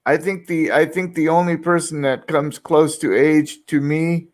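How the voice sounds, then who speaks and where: A man's voice: on the high side, steady tone, measured pace. A man in his sixties, an English teacher, chatting casually in a rambling, reflective, self-deprecating way. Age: 60s. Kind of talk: casual group chat.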